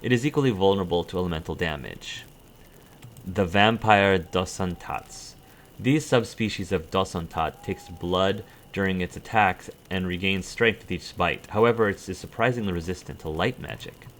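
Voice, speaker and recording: Low-voiced man reading game lore. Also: moderate pace, a bit bright. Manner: reads aloud with stumbles and self-corrections, adds brief dry asides. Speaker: let's play host. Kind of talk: reading in-game codex entries aloud.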